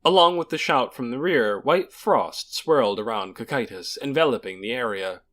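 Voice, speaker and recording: A man's voice: mid-range voice, animated, moderate pace, fairly bright tone. A man narrating a fantasy audiobook in a dramatic, scripted storytelling style.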